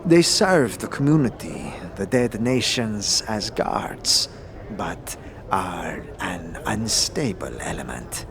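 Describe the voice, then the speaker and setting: A man's voice: fairly low pitch, sing-song, slow, vocal fry, background noise, exaggerated voice. A man playing a polite, cautious guide through undead halls in a fantasy audio drama, speaking in formal warnings. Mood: grave warning.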